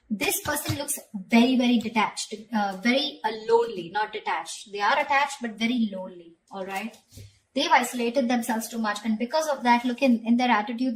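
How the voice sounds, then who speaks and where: A woman's voice: a little high, a little hiss or hum, rough recording. A woman giving a conversational tarot reading straight to the viewer, often repeating phrases and checking in with short tag questions. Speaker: tarot reader.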